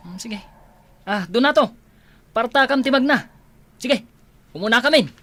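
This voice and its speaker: Woman playing breezy, high-voiced teenage schoolmate. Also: animated, normal speed, fairly bright tone, slight background noise. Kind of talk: comedy radio drama acting.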